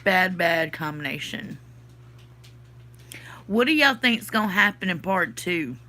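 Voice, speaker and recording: Southern woman, stuffy nose, bright forceful tone, livestream host, casual chat, answers chat comments. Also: on the low side, animated, a little slow. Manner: chatty, thinking aloud, answers chat comments. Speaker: podcast host reading live chat.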